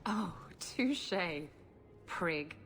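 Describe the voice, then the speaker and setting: A brazen, slow-drawling female pirate. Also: medium pitch, lively intonation, vocal fry, a bit bright, full character voice. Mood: mocking. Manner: drawling, mocking. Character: brazen, taunting female pirate, flirtatious and impatient. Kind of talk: video game cutscene acting.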